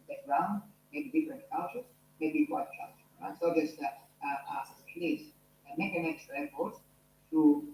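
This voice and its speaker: A man's voice: a little high, a little mellow, reverberant, a little hiss or hum, low-quality recording. Italian-accented man lecturing an online class. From Italy. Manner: earnest, many fillers and restarts. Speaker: university lecturer.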